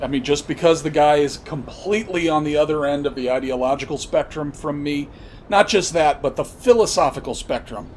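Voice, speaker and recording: Higher-voiced man ranting over noticeable background noise. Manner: emphatic, halting, repeats phrases for stress; blunt, profane. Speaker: podcast host. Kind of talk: unscripted political commentary rant.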